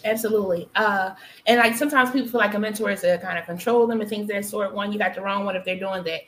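A woman's voice: medium pitch, quick pace, fairly bright tone, slight background noise. A woman host, chatting casually and encouragingly with her guest. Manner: encouraging, frequent affirmations. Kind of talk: casual interview talk. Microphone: video call.

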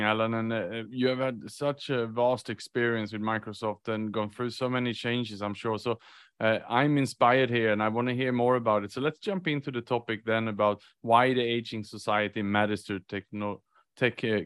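A man's voice: mid-range voice, moderate pace, a bit bright, clear, resonant tone, no echo, clean studio sound. A man hosting an interview podcast, opening with a scripted introduction and then asking friendly, conversational questions. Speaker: podcast host.

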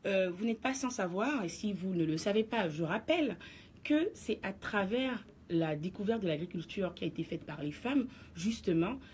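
A woman's voice: medium pitch, expressive, moderate pace, darkish tone, a little hiss or hum. A woman giving her radio column, explaining her position to listeners in an assertive, lecturing way. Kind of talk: radio commentary segment. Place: radio studio.

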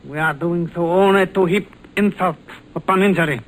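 High-pitched menacing saboteur. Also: male voice, normal speed. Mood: gloating. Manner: stiff, formal, over-precise diction. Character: menacing Japanese saboteur commander, male, coldly polite and gloating. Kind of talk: 1940s radio serial acting. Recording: noisy old radio drama recording.

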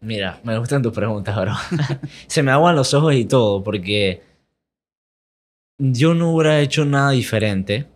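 A young man from Panama with a Panamanian Spanish accent, a guest in a casual podcast interview, relaxed and quick to laugh. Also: mid-range voice. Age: young adult. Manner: relaxed, laughs often, repeats himself.

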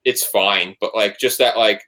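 A man's voice: on the low side, steady tone, a little slow, sharp tone. A man being interviewed on a podcast, talking casually and rambling with lots of "like" and some swearing. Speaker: podcast guest, band member and photographer.

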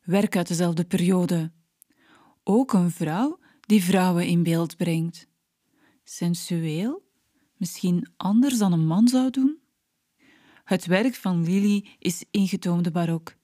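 A woman's voice: fairly low pitch, slow pace, dark tone, no echo, clean studio sound. A woman with a Flemish accent reading scripted, first-person narration for a documentary podcast.

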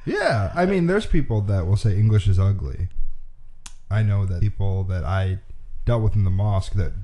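A man with a fairly low, mellow voice asks questions in a friendly conversation. He is chatty and curious and keeps the talk moving with follow-ups. The warm darkness of his tone stays steady. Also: moderate pace. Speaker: interviewer. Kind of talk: casual conversation.

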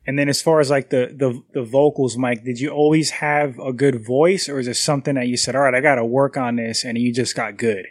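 A man's voice: medium pitch, fairly even intonation, a little fast, hardly any pauses, no echo, studio quality. A man in his mid-thirties co-hosting a podcast, asking questions in casual conversation. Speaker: podcast co-host. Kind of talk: casual interview chat.

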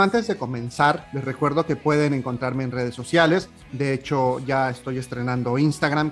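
A young man from Mexico with a Mexican Spanish accent, hosting a solo podcast in a chatty way, with a lot of "eh, pues". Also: medium pitch. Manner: chatty, warm-hearted, with filler "eh, pues". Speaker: podcast host.

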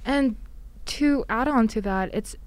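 A woman's voice: on the high side, a little slow. A woman co-hosting a faith podcast, speaking earnestly and guiding the conversation. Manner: earnest, affirming, steers the talk. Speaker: podcast co-host. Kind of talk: casual faith podcast chat.

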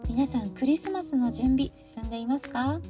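High-voiced middle-aged woman podcaster over music. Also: normal speed. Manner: polite, conversational, addresses listeners directly. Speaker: podcast host introducing picture books. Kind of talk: chatty solo podcast talk.

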